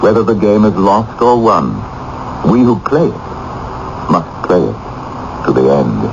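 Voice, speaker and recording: An actor playing a shrewd, stubborn royal minister in a radio drama, measured and formal. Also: male voice, fairly low pitch, very animated, creaky, exaggerated voice. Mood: defiant, grave. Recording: muffled, noisy old radio broadcast.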